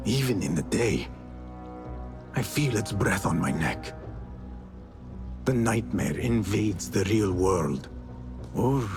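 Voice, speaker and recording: An actor playing a haunted, brooding assassin in a video game, measured and guarded in manner. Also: male voice, lowish voice. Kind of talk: dramatic video game acting.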